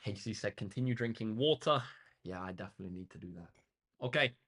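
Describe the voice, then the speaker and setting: A young man with a slightly croaky voice, tutoring maths on a live stream in an upbeat, chatty way, reading out comments from chat. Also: lowish voice, expressive, fast, a bit bright. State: slightly hoarse. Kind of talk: live-streamed exam walkthrough.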